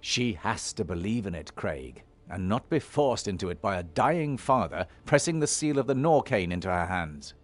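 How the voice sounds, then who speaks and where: Expressive, earnest game hero. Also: male voice, on the low side, faint music behind. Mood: calm, firm. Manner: measured, earnest. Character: calm, firm male heroic warrior and peacemaker. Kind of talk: fantasy game acting.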